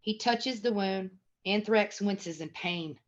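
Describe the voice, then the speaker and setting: A woman's voice: mid-range voice, slow pace, highs cut off. A woman reading stage directions as the narrator of a scripted screenplay table read.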